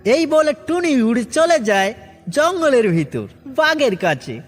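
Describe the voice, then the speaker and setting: A man's voice: very high-pitched, big pitch swings, a little slow. A man narrating a children's cartoon story as its narrator and host. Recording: heavy reverb with music.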